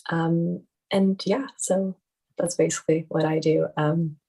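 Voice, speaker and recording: A woman's voice: sits low, steady tone, measured pace, vocal fry, mellow, slightly rough. A young woman in her teens chattily rambling through a casual introduction of herself and her creative work. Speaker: student actor and filmmaker.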